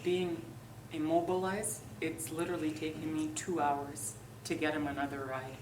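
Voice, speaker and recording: A woman making a quiet, apologetic aside at a community gathering. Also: deep voice, flat delivery, moderate pace. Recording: echoey, distant, noisy room.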